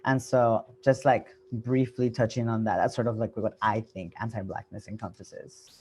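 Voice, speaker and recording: A woman's voice: very deep voice, fairly even intonation, normal speed, darkish tone, breathy edge. A woman speaking earnestly and emphatically as a panelist on a video call, often adding "right?". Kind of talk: panel discussion.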